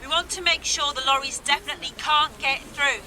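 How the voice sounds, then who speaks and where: An actress playing a brisk protest marshal calling out instructions to a group in an audio drama. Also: female voice, high-pitched, sharp tone. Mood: brisk, organising.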